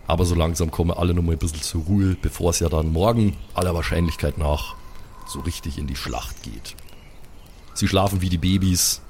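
A man's voice: sits low, fairly quick, darkish tone, slight background noise. A man narrating an improvised audio drama as game master. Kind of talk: live improvised storytelling. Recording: guitar music behind voice.

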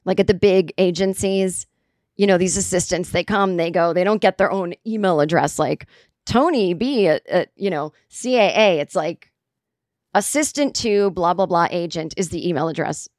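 A raspy-voiced woman in her forties, a comedian hosting a podcast, telling a story casually with plenty of swearing and acted-out quotes. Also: fairly low pitch, moderate pace, no room sound, studio quality. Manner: profane, animated, acts out quotes.

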